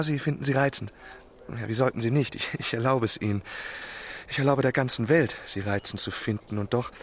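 A man's voice: medium pitch, lightly acted. Man playing an effusive lovesick young marquis, noisy ambience. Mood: infatuated, effusive. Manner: effusive, stammering gentility. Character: lovesick young French marquis, chatty, effusive, self-pitying aristocrat. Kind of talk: German radio drama acting.

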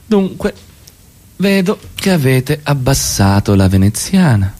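A mellow, soft-edged male voice playing a middle-class husband in a radio drama. He is courteous and casual on the surface, with measured, needling questions underneath. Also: medium pitch, big pitch swings. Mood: probing, curious. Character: middle-class husband, polite, probing, quietly needling his wife. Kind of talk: radio theatre dialogue.

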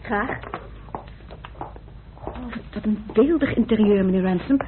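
A woman's voice: mid-range voice, a little slow, natural voice. An actress playing a genteel, easily shocked lady in a radio drama, polite and given to exclamations. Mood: polite, admiring. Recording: noisy, tinny old radio recording.

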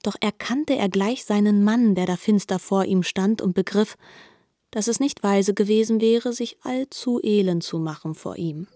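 A woman's voice: medium pitch, normal speed, mellow, no room sound. Woman narrator, close and dry. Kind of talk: scripted literary narration.

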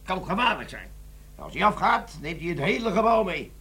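An actor playing a gruff, bossy army bomb-disposal sergeant in a Dutch radio play. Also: male voice, high voice, sing-song, moderate pace, pushed and bright, exaggerated voice. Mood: urgent, commanding.

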